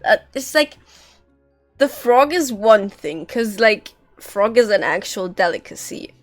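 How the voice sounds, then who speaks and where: A woman live-streaming a game with casual, informal, expressive commentary, close on the mic. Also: medium pitch, unhurried, dry recording. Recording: dry, game music behind.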